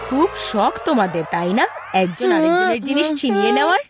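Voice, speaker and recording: A woman acts a cartoon mother with a fairly high, swooping voice. She is firm and shrewd yet affectionate, scolding expressively. Faint music runs underneath. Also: very animated, fairly quick. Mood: knowing. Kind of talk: cartoon dialogue dub.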